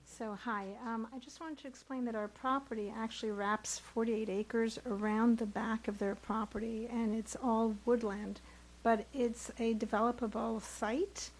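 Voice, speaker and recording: A woman resident speaks before a town board in a slightly high voice. She explains her concerns earnestly and in detail. It sounds like a public meeting room. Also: steady tone, moderate pace, poor audio. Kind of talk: public comment.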